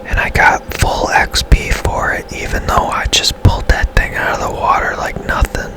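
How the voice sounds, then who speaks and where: A man whispering casual, unhurried ASMR commentary as he plays a video game. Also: over music. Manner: whispering throughout. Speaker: gaming streamer.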